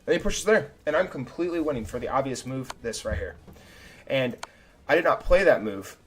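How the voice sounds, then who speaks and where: Chatty young man breaking down chess moves. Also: medium pitch. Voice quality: slightly raspy. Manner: chatty and self-critical, thinking aloud move by move. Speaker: chess streamer. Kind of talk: casual live commentary reviewing his own game. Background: faint background music.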